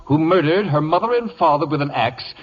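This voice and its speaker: A muffled old radio broadcast of an imperious, middle-aged wit. His slightly husky voice swoops theatrically through pompous, mock-courtly sarcasm and gleeful scheming. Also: on the high side, lively intonation, fairly quick. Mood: smug menace. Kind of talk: 1940s radio comedy acting.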